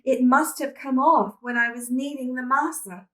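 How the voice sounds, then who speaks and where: A woman's voice: sits high, steady tone, moderate pace, fairly bright tone, pitched above the performer's own voice. A woman voicing an eager, anxious, bossy young girl in a children's storybook, speaking emphatically. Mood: panicked. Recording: roomy echo, distant microphone.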